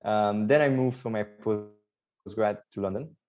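A man's voice: on the low side, a little slow, darkish tone, soft background music. A young man from Belgium, co-founder of a startup, chatting as a podcast guest, upbeat and explaining at length with fillers. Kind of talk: casual podcast interview.

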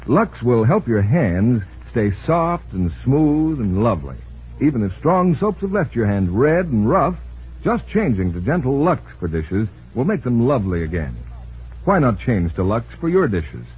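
A man's voice: medium pitch, very animated, moderate pace, husky. A man working as a commercial announcer, reading a scripted 1940s radio advertisement. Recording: narrow, tinny 1940s radio recording.

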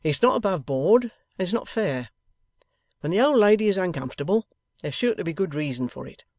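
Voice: medium pitch, expressive, brisk, darkish tone, no echo, clean studio sound, voice pushed up, natural voice. A storybook reader voicing a grave, plain-spoken older husband with an emphatic, firm and homely manner. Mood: blunt, firm.

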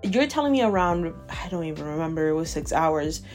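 A woman in her twenties, from Ecuador with Spanish as her first language, hosts her own podcast and talks in a fired-up, emphatic rant. Her voice sits a little low for a woman. Faint chill music plays quietly behind her. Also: fairly quick. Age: 20s. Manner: emphatic and animated, keeps asking "okay?". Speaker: podcast host. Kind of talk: casual ranting podcast. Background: faint chill background music.

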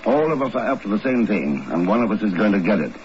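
A suave secret agent in an old radio espionage drama. The voice is low, cool and dry, used to giving orders. The recording is dull and clearly noisy. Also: male voice, sing-song, fast talker, a little mellow. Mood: businesslike. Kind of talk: 1950s radio drama acting.

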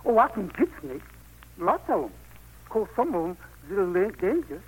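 A man's voice: high voice, very animated, fairly quick, breathy edge, exaggerated voice, rough recording. An actor playing a humble, crafty country man in an old radio play, deferential and coaxing. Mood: wheedling. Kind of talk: 1940s-50s radio play acting. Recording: vintage radio broadcast recording.

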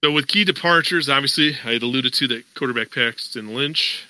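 A man's voice: mid-range voice, fairly quick, bright, forceful tone, no echo, low-quality recording. A man hosting a sports podcast, talking casually and at length with plenty of fillers. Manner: conversational, many fillers, explains at length. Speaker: podcast host.

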